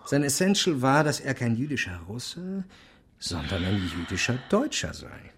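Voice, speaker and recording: A dominant, self-assured man of learning in a radio drama. His mid-pitched voice is deliberate and emphatic as he explains and lectures insistently. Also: very animated, husky, full character voice. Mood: lecturing, emphatic. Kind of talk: German radio drama dialogue.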